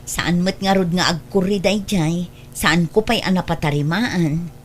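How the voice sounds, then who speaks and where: A woman's voice: fairly low pitch, unhurried, slight background noise, close to an everyday voice. A woman acting in an Ilocano radio drama as a matter-of-fact mistress of the house, with an Ilocano accent. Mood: reassuring, doubtful. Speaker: drama actor.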